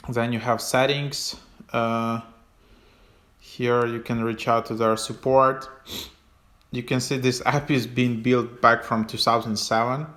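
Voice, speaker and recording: A man giving a casual, unscripted app walkthrough as a tutorial host, in a hesitant, halting way with trailing phrases. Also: medium pitch, flat delivery, a bit bright.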